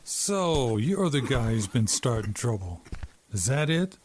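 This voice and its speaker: A man's voice: mid-range voice, very animated, unhurried, a little mellow, a little hiss or hum. An actor playing a menacing, arrogant gangster boss in a 1950s noir radio drama, with a sneering, threatening tough-guy delivery.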